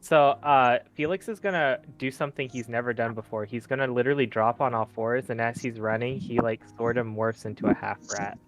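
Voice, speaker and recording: A man's voice: medium pitch, steady tone, brisk, hardly any pauses, low-quality recording. A man playing a tabletop game, casually describing his character's actions and searching for words. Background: faint music.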